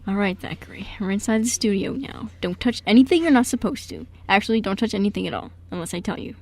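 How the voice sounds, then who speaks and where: A woman's voice: medium pitch, fast talker, darkish tone, natural voice. A woman playing a fond, bossy uncle in his thirties in an amateur radio play.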